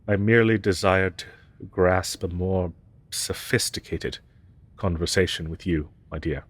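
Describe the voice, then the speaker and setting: Man playing a slow, very deep haughty dragon professor. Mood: haughty, affectionate. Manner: archaic, pompous, ornate formal phrasing. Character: haughty male dragon professor, formal, grandiose, secretly tender. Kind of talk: scripted romantic fantasy audio roleplay.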